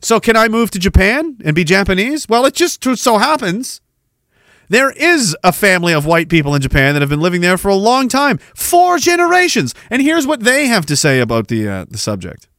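A Canadian man ranting on his podcast, firing off sarcastic rhetorical questions. His voice sits a little high, and the sound is dry and close to the mic. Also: big pitch swings, a little fast, a bit bright, no echo. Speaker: podcast host. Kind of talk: ranting commentary over a played clip.

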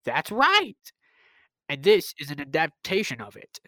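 A woman playing a kindly, absorbed scientist in an old-time radio drama, speaking in a halting, soothing way. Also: low voice, huge pitch swings, pushed and bright, no room sound, studio quality, exaggerated voice. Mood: reassuring, proud.

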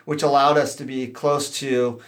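A man from Southern California, an associate professor, answering interview questions in a steady, explanatory way with frequent fillers. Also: mid-range voice, normal speed.

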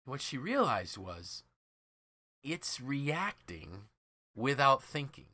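A man's voice: medium pitch, sing-song, slow, bright, forceful tone, dry recording. A man hosting a podcast, explaining ideas from a book in a conversational, emphatic way. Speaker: podcast host.

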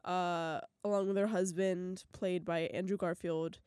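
A woman's voice: on the low side, steady tone, unhurried, darkish tone, smooth, dry recording, studio quality. A young woman with a slightly stuffy, sick voice, co-hosting a casual podcast chat, chatty and giggly with frequent restarts. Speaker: podcast co-host.

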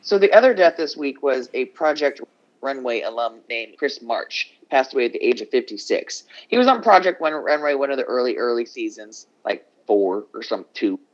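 A man's voice: mid-range voice, expressive, normal speed. A man in his forties co-hosting a casual chat over a video call, telling stories loosely and swearing freely. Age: 40s. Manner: loose, profane storytelling. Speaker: podcast co-host. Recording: dull lo-fi call audio.

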